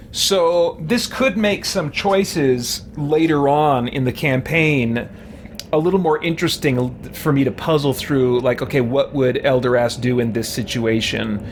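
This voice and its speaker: A man's voice: medium pitch, a little slow, slight background noise. A man giving casual, rambling gameplay commentary, thinking aloud as he streams a role-playing game. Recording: game music behind the voice. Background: video game music.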